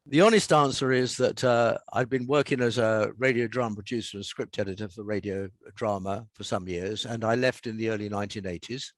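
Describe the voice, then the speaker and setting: A man's voice: fairly low pitch, a little fast, hardly any pauses, no room sound. Male, 70s, southern English. Interview guest, wry anecdotal delivery, close dry sound. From South London, England.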